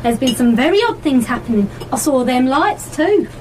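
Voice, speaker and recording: A woman's voice: high voice, expressive, normal speed, recorded from a distance, noisy background. A woman playing a chatty, suspicious village waitress with homely colloquial talk in a live radio play. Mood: gossipy, wary. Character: gossipy, suspicious village tea shop waitress.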